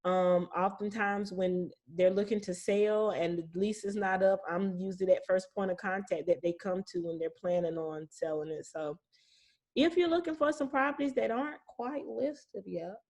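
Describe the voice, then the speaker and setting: A woman's voice: mid-range voice, fairly even intonation, moderate pace, darkish tone. A woman speaking as a guest property manager, explaining things casually in an informal, reassuring, plain-spoken way.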